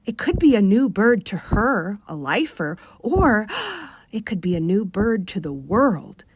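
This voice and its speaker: A woman with a Rochester, New York accent reading a short story for radio in an expressive, wry manner. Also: medium pitch, unhurried, slightly rough, no echo. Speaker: author reading aloud.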